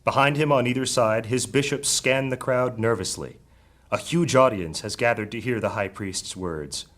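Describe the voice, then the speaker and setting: A man's voice: mid-range voice, normal speed, fairly bright tone. A man narrating a fantasy audio drama in a dramatic, scripted storytelling style.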